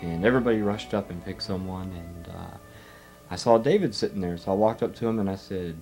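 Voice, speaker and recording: Male interviewee, lowish pitch, relaxed conversational storytelling, faint music behind. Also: a little fast, low-quality recording. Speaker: documentary interviewee. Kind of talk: casual anecdote with acted quotes.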